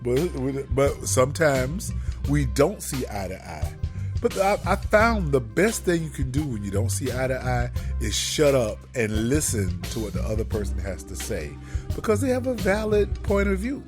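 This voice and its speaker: Man, radio co-host, talkative, preachy asides, lively pitch, faint music behind. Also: normal speed. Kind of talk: casual couple chat.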